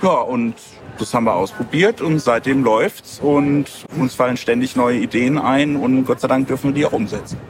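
A man's voice: mid-range voice, moderate pace. A man talking casually as an interview guest. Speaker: comic writer and interview guest. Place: book fair. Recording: clear background noise, busy book fair.

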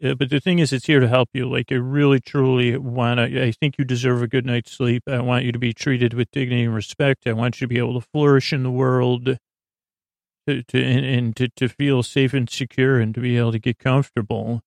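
A man's voice: medium pitch, flat delivery, a little fast, gravelly, no echo. A man hosting a bedtime podcast in a goofy, meandering monologue, stammering and often losing his thread. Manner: goofy, silly. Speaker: podcast host.

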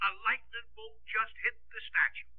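Folksy excitable small town sidekick, high voice, thin tinny old radio sound. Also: male voice, normal speed. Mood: excited. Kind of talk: 1950s radio comedy acting.

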